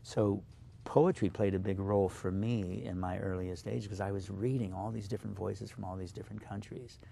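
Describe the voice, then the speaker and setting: Low, gravelly man, native Slovak speaker. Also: steady tone, dark tone. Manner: thoughtful, halting, with many fillers. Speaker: poet guest. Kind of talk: reflective interview answers.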